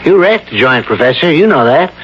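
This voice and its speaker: Folksy, higher-voiced small-town bar owner. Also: male voice, big pitch swings, fairly quick, a bit bright. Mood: warning, insistent. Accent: American. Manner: folksy, slangy, hesitant with uhs. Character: rough small-town male bar owner, wary, slangy, insinuating. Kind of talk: vintage radio drama acting. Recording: dull lo-fi old radio broadcast, faint noise.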